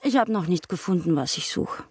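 An actress playing a weary woman drifting away from her lover, speaking softly and intensely in a radio drama. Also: fairly low pitch, animated, a little fast, slightly rough, no echo, clean studio sound. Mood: brooding.

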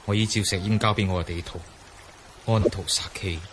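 A man's voice: fairly low pitch, fairly even intonation, brisk, background noise, lightly acted. An actor playing a lofty, self-possessed scholar-swordsman, declaiming a poetic soliloquy in a radio drama. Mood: musing, lofty. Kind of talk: Cantonese radio drama acting. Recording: clear water ambience behind voice.

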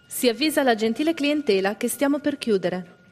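A woman's voice: on the high side, animated, normal speed, darkish tone, natural voice. A woman playing a courteous store announcer in a radio drama, giving a formal public-address notice. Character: polite female store announcer at closing time.

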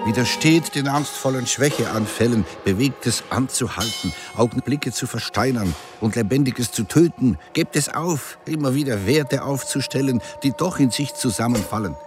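A man's voice: medium pitch, moderate pace, hardly any pauses, over music, natural voice. A man playing an avant-garde artist in an audio drama, declaiming a manifesto in short, emphatic phrases. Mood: exhortative.

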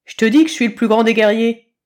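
A woman voicing a boastful, excitable young male kitten in an acted storybook. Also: a little high, quick pace, close to an everyday voice. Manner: arrogant, mangles long words.

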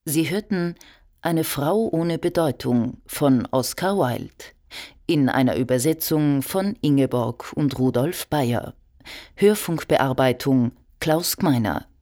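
A woman giving a formal, measured radio announcement from a script. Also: deep voice, lively intonation, dark tone.

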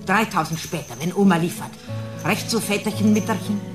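An actress playing a wily old granny trader with a Russian accent and coaxing broken German in a radio drama. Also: female voice, mid-range voice, a little fast, music in the background, natural voice. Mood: wheedling, businesslike. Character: wily old foreign granny dealing in children.

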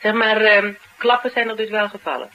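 A man's voice: high voice, flat delivery, a little fast, pushed and bright, dull audio, slight background noise. An actor playing an attentive, probing man who asks short questions in a radio play. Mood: probing, thoughtful. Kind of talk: naturalistic radio drama dialogue.

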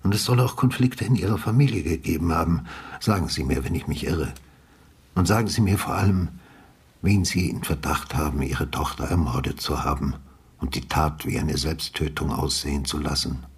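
An actor playing a calm, courteous older retired police detective who questions methodically in a measured way, with a husky, breathy bass, in a radio drama. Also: male voice, full character voice. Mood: measured, probing.

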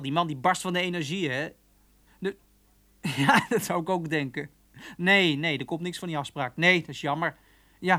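A man's voice: high-pitched, sharp tone. A chatty, cheeky young man at an office switchboard in a radio drama. He talks in a breezy, familiar way with a chuckle never far off, and keeps the delivery natural and conversational. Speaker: actor in a radio play.

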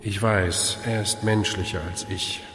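An actor playing a tired, wry older hospital volunteer with a dry, sardonic manner in a German radio play. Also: male voice, on the low side, fairly even intonation, measured pace, a bit bright. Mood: wry, weary. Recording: echoey reverberant hall, music behind.

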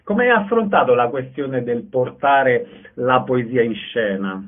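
A man's voice: mid-range voice, lively intonation, a little slow. Male radio interviewer, dull sound.

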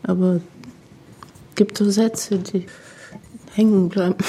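Slow, creaky-voiced woman reminiscing. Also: medium pitch, fairly even intonation, dark tone, slight background noise. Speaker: interviewee recalling a former partner. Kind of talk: reflective personal reminiscence.

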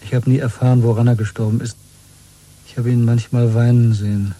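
An actor playing a weary, bitter, quietly defiant dissident in a radio drama, husky and intimate, speaking slowly and broodingly. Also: male voice, medium pitch, level tone, lightly acted, low-quality recording. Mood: somber recollection. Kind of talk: 1970s German radio play acting.

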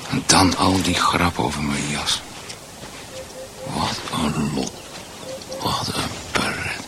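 An actor playing a bewildered, meekly polite husband losing his grip in a radio drama, muttering haltingly and repeating himself. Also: extremely low pitch, background noise, heavily acted. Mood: muttering, puzzled.

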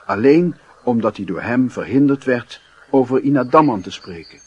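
A man's voice: medium pitch, lively intonation, moderate pace, slightly rough, no room sound, muffled recording. A man narrating a literary radio drama in a solemn, introspective, dramatic manner. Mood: brooding. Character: solemn storyteller of a lovesick youth's inner life.

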